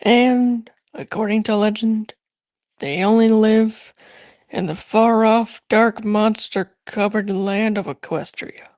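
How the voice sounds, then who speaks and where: A woman voicing a patient, gently dismissive schoolteacher in a cartoon fan drama, explaining in a measured way. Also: mid-range voice, fairly even intonation, no echo, lightly acted. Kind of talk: scripted cartoon fan voice acting.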